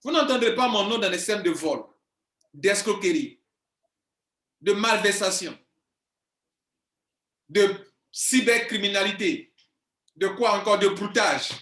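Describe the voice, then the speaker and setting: Man, 40s, business coach; high-pitched, insistent, repeats points, roomy echo. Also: lively intonation, takes it slowly, pauses often, a bit bright. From Ivory Coast. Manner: insistent, emphatic, repeats himself. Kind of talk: video monologue to viewers. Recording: echoey room.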